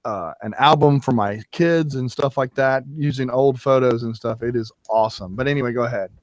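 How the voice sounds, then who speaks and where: Man of middle pitch, friendly co-host, dry sound, video call. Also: fairly quick, no room sound. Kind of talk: casual chat.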